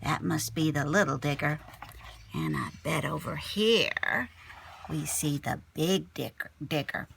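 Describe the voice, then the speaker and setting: A woman reads a children's storybook as a teacher would, slowly and with lively, swooping pitch. Her voice has some creak in it, and she drops in simple, chatty asides for young listeners. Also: a little hiss or hum. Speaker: elementary teacher reading aloud. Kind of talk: expressive read-aloud of picture book with chatty asides.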